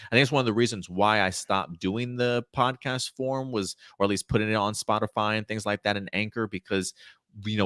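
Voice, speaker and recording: Low-pitched man hosting a casual chat. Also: fairly bright tone, no room sound, studio quality. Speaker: host of a live pop culture show. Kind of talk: casual chat, answering viewer questions.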